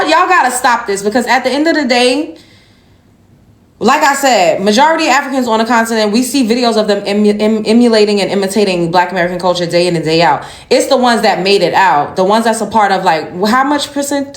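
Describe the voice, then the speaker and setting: A woman's voice: on the high side, brisk, roomy sound. A woman, ranting casually and sassily to her viewers. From Brooklyn. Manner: sassy, addresses viewers as y'all. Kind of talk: casual social media rant.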